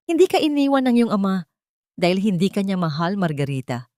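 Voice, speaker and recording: A woman's voice: mid-range voice, sing-song, a little fast, darkish tone, no room sound, studio quality, natural voice. A woman with a Filipino accent voicing a respectful middle-aged male lawyer in an audio drama, formal, grave and earnest. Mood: emotional, earnest.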